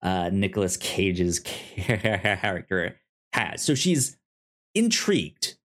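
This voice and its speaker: Male podcast co-host, unhurried and stammering over words, enthusiastic, with big swings in pitch. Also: sing-song. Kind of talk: casual film discussion chat.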